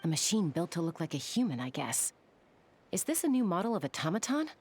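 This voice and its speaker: A woman's voice: lowish voice, sing-song, fairly quick, darkish tone, a little hiss or hum. A woman acting as an easygoing, confident female gunslinger in a video game, speaking in a casual, wry way. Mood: concerned, curious. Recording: music under the voice.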